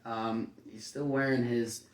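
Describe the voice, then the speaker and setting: A man's mid-pitched voice comes through roomy and a little way from the microphone. He reads a picture book to young children in a teacherly way, slipping into folksy, playful commentary between the story sentences. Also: level tone, unhurried, a little hiss or hum. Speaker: kindergarten teacher reading a picture book aloud. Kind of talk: children's read-aloud with casual asides. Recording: roomy echo, distant microphone.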